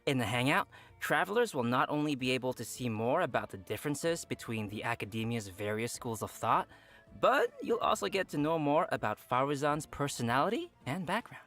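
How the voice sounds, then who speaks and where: Animated man presenting game news, music behind. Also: mid-range voice, brisk. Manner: animated, playful. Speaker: co-host of a game preview show. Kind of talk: scripted game update presentation with playful banter.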